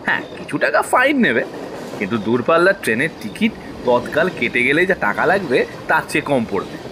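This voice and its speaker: An actor playing a jokey, carefree young college student in a horror audio drama, with a teasing manner. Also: male voice, on the high side, very animated, fairly quick, noisy background. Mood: breezy. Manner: breezy, teasing.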